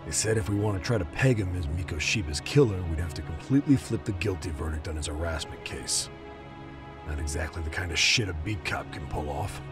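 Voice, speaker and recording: A gruff middle-aged cop played by a male actor speaks in a low register over a clear musical score. He is jaded and blunt, with a foul mouth, in the manner of a dubbed crime game cutscene. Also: a little fast, music in the background, natural voice. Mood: weary, irritated. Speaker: police detective character. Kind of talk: English game dub cutscene acting.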